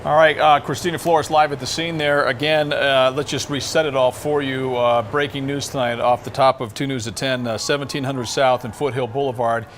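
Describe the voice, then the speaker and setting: A man's voice: medium pitch, fairly even intonation, fairly quick, few pauses, a bit bright, slight background noise. A man anchoring live breaking news, speaking in an ad-libbed, conversational way.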